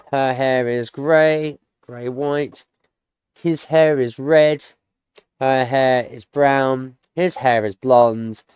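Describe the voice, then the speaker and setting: British man, 30s, casual hesitant self-study commentary; smooth tone, dry dull recording. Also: medium pitch, darkish tone, dry recording. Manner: rambling, hesitant, full of restarts. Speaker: self-taught language learner streaming. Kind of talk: casual thinking-aloud commentary.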